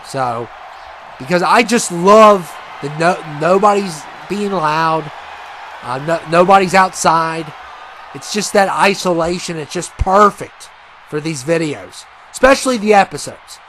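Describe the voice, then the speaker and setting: A man casually commentating a wrestling video game match, rambling and chatty with many fillers, and he says he has a loud voice. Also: high voice, slight background noise. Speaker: gaming commentator.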